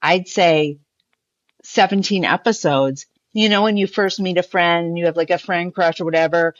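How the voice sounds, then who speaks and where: A woman's voice: on the low side, moderate pace, dry recording, highs cut off. A woman co-hosting a podcast, casually recollecting and explaining as an interview guest. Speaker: podcast co-host being interviewed.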